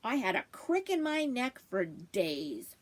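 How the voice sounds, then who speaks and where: A woman's voice: fairly high pitch, sing-song, slow, full character voice. A woman voicing a wry, food-loving family dog who narrates his own storybook tale in a confiding manner.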